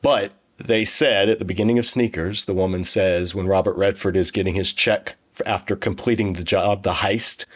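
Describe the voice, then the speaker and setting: A man's voice: low-pitched, fairly even intonation, normal speed, hardly any pauses. A man hosting an interview, friendly and enthusiastic, joking easily with his guest. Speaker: YouTube channel host. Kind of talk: casual interview conversation.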